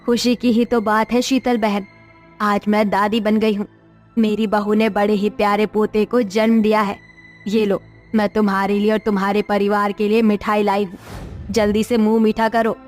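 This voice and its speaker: A woman's voice: medium pitch, little pitch movement, moderate pace, faint music behind, natural voice. A woman voicing a chatty, meddling older neighbour who is a new grandmother, in a cartoon story. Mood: chatty, prying. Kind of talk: Hindi cartoon story dialogue.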